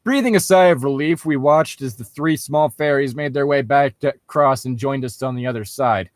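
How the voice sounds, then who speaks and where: A man's voice: mid-range voice, moderate pace, no echo, studio quality. A wry man riffing jokes and reading fan fiction aloud in a casual, mocking way. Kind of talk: joking commentary and mocking read-aloud.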